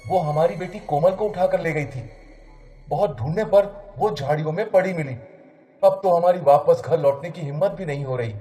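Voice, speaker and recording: A middle-aged family dad, acted by a man, worried and impatient in a cartoon horror tale. His voice is mellow and dark, urgent but everyday, with music underneath. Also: on the high side, normal speed, lightly acted. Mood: anxious.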